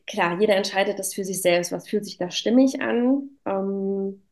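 A woman's voice: mid-range voice, normal speed, a little mellow. A woman in her thirties, a native German speaker, talking reflectively and casually as an interview guest, often adding little tag questions. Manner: reflective, filler-laden with frequent "ne" tags. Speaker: interview guest, self-employed business owner. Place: at home.

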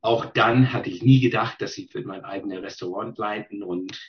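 A middle-aged Canadian man, a native English speaker and restaurant chef, speaking halting German full of fillers and restarts in a casual interview. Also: fairly low pitch. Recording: dull lo-fi sound.

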